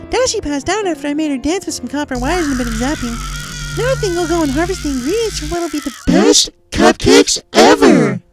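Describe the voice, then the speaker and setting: A very high, giddy young female pony in a fan fiction reading, childishly cheerful with a murderous menace. The delivery is sing-song and manic, with music playing behind it. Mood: excited, gleeful.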